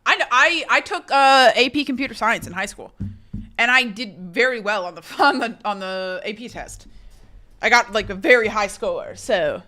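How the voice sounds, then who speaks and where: A young woman with a bright, somewhat high voice chats loosely with her live audience, full of fillers, restarts and casual swearing. She reads comments aloud and answers them in an easygoing way. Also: normal speed. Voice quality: says her voice is fairly low and relaxed when tired. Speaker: streamer.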